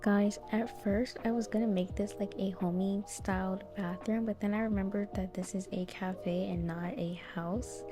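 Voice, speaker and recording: A woman's voice: mid-range voice, steady tone, moderate pace, hardly any pauses, music in the background. A young woman giving casual, chatty commentary over a video game as host.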